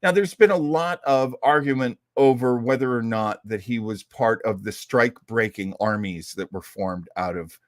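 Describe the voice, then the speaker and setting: A man's voice: medium pitch, normal speed, hardly any pauses, no room sound, clean studio sound. A man hosting an old-time radio program, giving casual commentary in a chatty, folksy, opinionated way.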